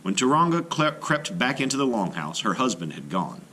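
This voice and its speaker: A man's voice: mid-range voice, sing-song, normal speed, pushed and bright, slight background noise. A man reading a children's story aloud with dramatic, carefully phrased narration.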